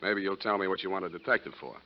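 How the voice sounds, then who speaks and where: An actor playing a cool, wisecracking private detective in deadpan 1940s radio style. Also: male voice, low voice, very fast, natural voice. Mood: dry, wry. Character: cool, wry hard-boiled male private detective. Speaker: lead actor. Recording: muffled, noisy 1940s radio recording.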